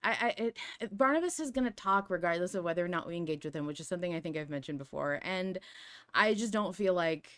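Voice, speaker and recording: A woman hosting a fan podcast, chatting casually in an animated, opinionated way with some swearing. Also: on the low side, quick pace, smooth. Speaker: podcast host.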